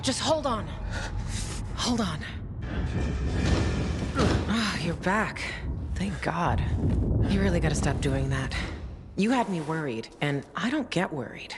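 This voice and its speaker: Sarcastic young woman survivor; dry, measured banter, heavy background noise. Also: lowish voice, very animated, full character voice. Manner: dry sarcastic banter, frantic when calling out. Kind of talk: video game cutscene acting. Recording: game audio with loud ambience.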